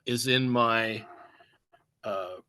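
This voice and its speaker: A man, a music teacher, presenting a webinar in a halting, unscripted way, pausing for words and restarting phrases over a video call. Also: fairly low pitch, pushed and bright. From United States. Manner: halting, many fillers and restarts, searching for words.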